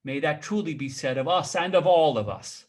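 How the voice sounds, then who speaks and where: A male storyteller narrates in a theatrical, expressive style. His voice sits fairly high for a man and swings widely in pitch as he dramatizes the tale. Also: moderate pace. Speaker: storyteller reading aloud.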